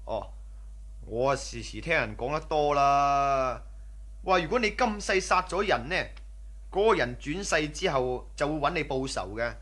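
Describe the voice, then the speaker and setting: An actor playing a cautious, superstitious bandit in a radio drama, earnest and nagging. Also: male voice, a little high, lively intonation, moderate pace, a bit bright. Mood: earnest warning. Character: cautious, superstitious male bandit, loyal underling. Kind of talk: Cantonese radio drama acting.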